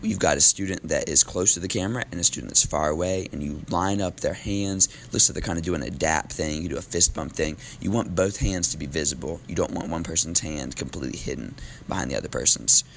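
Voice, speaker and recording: A very low-voiced man with a gravelly edge, teaching a class while his voice is going. He talks loosely and casually, filling pauses with ums. A faint hiss of noise sits behind him. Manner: relaxed, casual with filler ums. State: losing his voice. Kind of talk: recorded classroom lesson.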